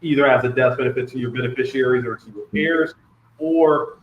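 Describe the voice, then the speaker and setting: A man's voice: medium pitch, brisk. A man in his thirties speaking as an interview guest, answering conversationally and often repeating words as he goes. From Liberia, grew up in Ohio. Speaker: guest, financial services wholesaler. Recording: roomy, muffled, noisy sound.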